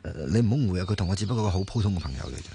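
A man's voice: sits low, expressive, racing, vocal fry, dark tone, slight background noise. An actor with a Hong Kong accent playing an easygoing, trusting young man in a radio drama, casually brushing things off. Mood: defensive. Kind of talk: Cantonese radio drama acting.

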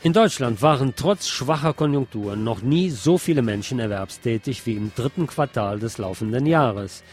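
A man's voice: mid-range voice, animated, moderate pace, darkish tone, faint music behind. A man reading scripted news in the role of a radio news presenter.

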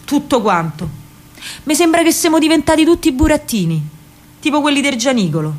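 High-voiced, spirited Roman girl played by a woman. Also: brisk. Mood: indignant, questioning. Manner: colloquial, emphatic, expressive storytelling. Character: spirited, indignant working-class Roman partisan girl, narrating her memories. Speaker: actress. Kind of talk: theatrical first-person monologue in dialect.

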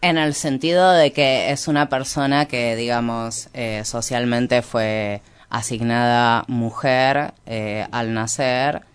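A man's voice: medium pitch, fairly even intonation, slow pace, hardly any pauses, clean tone. A man with an Argentine Spanish accent talking as an interview guest in an informal intellectual discussion, reflective and hesitant, often rewording himself. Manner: hesitant, reflective, self-correcting.

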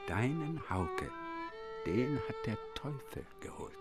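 An actor playing a superstitious villager in a radio drama, telling an eerie tale with excitement. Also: male voice, medium pitch, slow. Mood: eerie, awed. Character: superstitious villager telling of devil and ghostly horse. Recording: very loud fiddle music behind.

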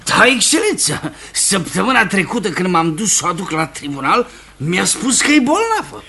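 A man's voice: high voice, sing-song, gravelly, sharp tone, heavily acted. A man playing a reluctant, dutiful bailiff in a Romanian radio play. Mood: deferential. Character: reluctant, dutiful bailiff reporting to his superiors. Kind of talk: Romanian radio theatre acting.

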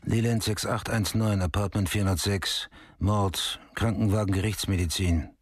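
Grim, low-voiced police sergeant. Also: male voice, fairly even intonation, moderate pace, slightly rough. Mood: grim, brooding. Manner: terse, clipped, brooding. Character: grim, weary male homicide police sergeant. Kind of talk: German radio crime drama.

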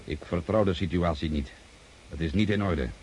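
A man's voice: sits low, moderate pace, very noisy, lightly acted. A man playing a wary, commanding cavalry general in a radio play, curt and brooding as he gives orders. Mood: suspicious, brooding.

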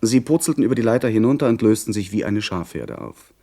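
An earnest gentleman narrator in a radio drama of pirates and sea voyages. His voice is fairly low, and he tells the tale in measured, articulate, literary sentences. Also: lively intonation. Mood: earnest recounting. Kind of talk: scripted radio play narration.

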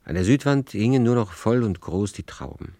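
Deep-voiced male storyteller. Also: expressive, dark tone, no room sound, studio quality. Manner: measured storytelling. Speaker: radio play narrator. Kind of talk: literary scripted narration.

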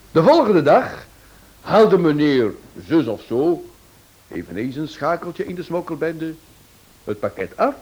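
An actor playing a shrewd detective in a radio serial, explaining step by step in a theatrical, rhetorical way. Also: male voice, on the high side, darkish tone, rough recording. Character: shrewd male detective theatrically unmasking a smuggling ring.

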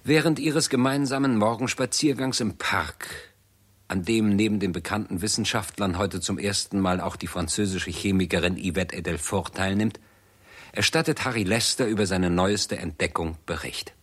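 Narrator: low, husky, grave, measured, formal vintage radio drama delivery. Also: male voice, a bit bright. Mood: grave, factual. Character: grave male narrator of a science fiction chronicle. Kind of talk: scripted narration, 1960s German radio play.

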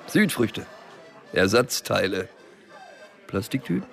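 An actor playing a stubborn, wary small-time drug dealer and loner in a radio crime drama, curt, dry and cynical. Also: male voice, lowish voice, sing-song, slow, vocal fry, soft background music, heavily acted. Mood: guarded, dry.

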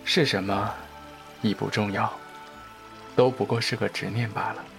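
A man playing a calm, authoritative Taoist priest of ancient China in a period audio drama, with composed, formal speech. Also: on the low side, moderate pace, gravelly, soft background music. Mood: detached, solemn.

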